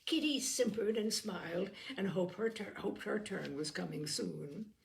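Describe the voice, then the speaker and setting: Woman reading an old novel out loud, lots of creak in the voice, expressive storyteller. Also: mid-range voice, unhurried. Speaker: audiobook reader. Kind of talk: scripted literary narration, reading a classic novel aloud.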